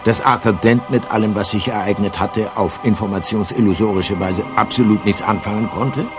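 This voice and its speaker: Male radio-play narrator, lowish voice, deadpan literary irony, measured delivery, faint music underneath. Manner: measured, dryly ironic, literary. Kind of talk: scripted narration for a comic science-fiction radio play.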